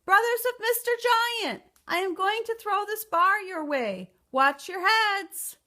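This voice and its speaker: A woman's voice: extremely high pitch, animated, unhurried, bright, forceful tone, voice pushed up, exaggerated voice. A woman voicing a clever, bold and polite little girl in a storybook, speaking with confidence. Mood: loud calling. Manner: confident, calling out boldly.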